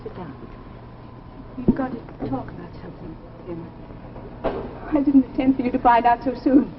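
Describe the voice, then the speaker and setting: Actress as troubled wife, high voice, halting and earnest, 1950s radio drama, dull hissy vintage recording. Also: female voice, lively intonation, natural voice. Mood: confessing, troubled. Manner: earnest, halting, repeats phrases for emphasis. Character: troubled wife secretly turning superhuman, earnest and hushed.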